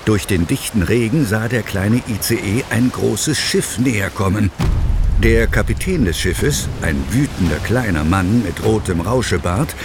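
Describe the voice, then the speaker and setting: A man narrating a scripted children's audio play as an expressive storyteller. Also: lowish voice, unhurried, noisy background. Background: music, vehicle sounds.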